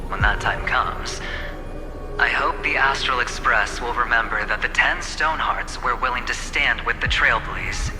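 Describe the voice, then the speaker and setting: An actor playing a smooth, scheming young male corporate negotiator in a video game, teasing and slipping into soft asides. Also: medium pitch, lightly acted. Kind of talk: game cutscene voice acting. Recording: reverb with game music behind.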